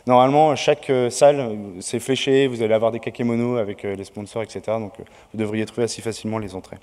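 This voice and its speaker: A man's voice: medium pitch, steady tone, quick pace, darkish tone. A man organizing a conference, giving opening announcements from a podium with many hesitations. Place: large lecture hall. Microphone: podium mic. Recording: reverberant hall.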